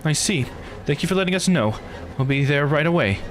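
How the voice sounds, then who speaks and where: A man's voice: a little high, expressive, fairly quick, close to an everyday voice. A man voicing a composed, authoritative female police superior in a visual novel game. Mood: commanding.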